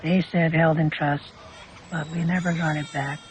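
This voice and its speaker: A man's voice: fairly high pitch, flat delivery, moderate pace, a little hiss or hum. An older man from Canada recalling his childhood in an informal, plain-spoken, matter-of-fact way. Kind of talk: informal reminiscence.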